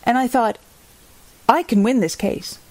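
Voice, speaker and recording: An actress playing a candid defense lawyer, articulate, thoughtful and emphatic, in a documentary-style audio drama interview. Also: female voice, a little high, measured pace, darkish tone, no echo.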